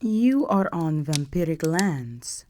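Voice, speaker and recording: A woman voicing an imperious, seductive vampire countess in a scripted audio roleplay, poised, commanding and softly menacing. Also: deep voice, very animated, slow pace, slight background noise, exaggerated voice. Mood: soft, possessive.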